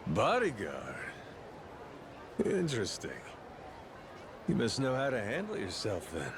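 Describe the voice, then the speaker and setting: An actor playing a gruff, blunt, wry middle-aged pirate captain with a gravelly voice in a video game cutscene. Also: male voice, mid-range voice, wildly swooping pitch, unhurried, a crowd in the background, noisy background. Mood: wry, easygoing. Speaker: lead character, captain.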